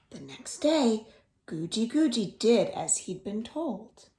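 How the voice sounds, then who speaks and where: A woman reading a children's story aloud in an expressive, deliberate way, as a storyteller for young children. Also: a little high. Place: indoors at home. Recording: roomy echo of a home room.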